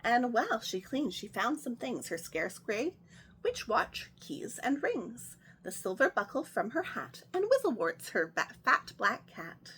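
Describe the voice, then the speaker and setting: A woman hosting a children's story time, reading a picture book aloud in a gentle, sing-song way. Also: a little high, sing-song, a little slow, slight background noise, low-quality recording.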